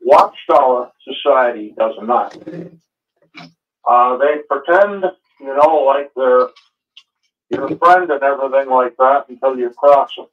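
A man calling in to a talk show over a narrow, tinny phone line. He talks at a middle pitch in long runs, tacking on "and so forth" again and again. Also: slow pace. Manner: repetitive, trailing fillers ("and so forth"). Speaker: caller.

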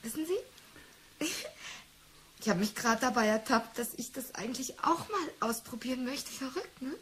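An actress playing a hesitant, curious female assistant in a German radio play, soft-spoken and confiding. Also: high-pitched, expressive, moderate pace, slight background noise. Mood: shy, confiding.

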